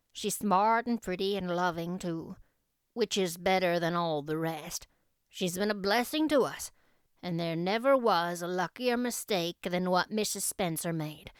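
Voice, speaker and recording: A woman's voice: lowish voice, a bit bright, clean tone, dry recording, clean studio sound. A woman voicing a shy, proud and fond old country man, muttering in a folksy way in an audiobook.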